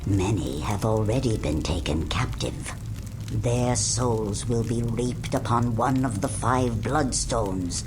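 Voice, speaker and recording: A woman voicing an ancient, all-seeing female prophet in a video game, speaking in a breathy, whispery way with slow, solemn pronouncements. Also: rumbling low, full character voice. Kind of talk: video game cutscene voice acting. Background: orchestral game music.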